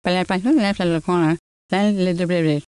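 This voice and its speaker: A woman's voice: lowish voice, fairly even intonation, fast, darkish tone, clear, resonant tone, no echo, lightly acted. A woman voicing a chatty game character who babbles emphatically and repeats herself in half-sensical small talk. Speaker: voice performer for game character samples. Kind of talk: improvised mock conversation for a simulation game.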